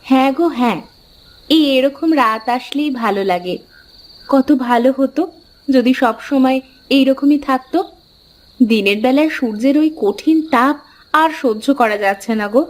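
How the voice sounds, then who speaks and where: Woman playing a high-voiced, caring village wife. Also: normal speed, pauses often, lightly acted. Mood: gentle, wistful. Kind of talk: cartoon folk tale dialogue.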